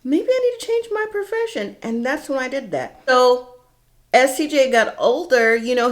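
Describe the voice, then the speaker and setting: A middle-aged woman telling a personal story casually and candidly, in a conversational way with dramatic pauses. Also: a little high.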